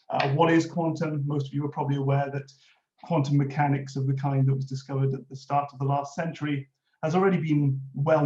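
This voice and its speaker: Welsh man, conference presenter, even flat delivery, mellow tone, echoey dull recording. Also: medium pitch. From Wales. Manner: explanatory, frequent "uh" fillers. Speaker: conference speaker, innovation lead. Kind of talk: presentation talk with slides. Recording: roomy, dull lo-fi audio.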